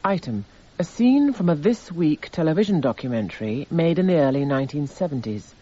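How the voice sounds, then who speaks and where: An actor playing a detached narrator, reading measured, descriptive scripted narration for a radio drama. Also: male voice, fairly high pitch, very animated, dark tone. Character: detached male narrator listing evidence items. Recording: slightly dull radio recording.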